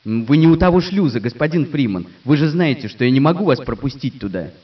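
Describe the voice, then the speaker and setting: A man's voice: mid-range voice, expressive, fast talker, far from the mic, lo-fi sound, natural voice. An actor playing a hesitant, apologetic male security guard in a game.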